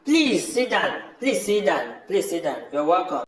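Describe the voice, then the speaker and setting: A man's voice: high-pitched, sing-song, unhurried, reverberant. A man in an amateur audio drama playing a brother, speaking in an emphatic and repetitive way. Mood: welcoming. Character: welcoming but disillusioned brother, fed up with farming.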